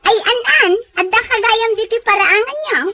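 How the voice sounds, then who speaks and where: Audio drama role: nosy gossiping neighbour woman played by a woman, Ilocano accent, very high bright voice, whiny and touchy. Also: normal speed. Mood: idle, prying. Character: nosy, lazy gossiping village neighbour woman, easily offended. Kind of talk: Filipino audio drama dialogue.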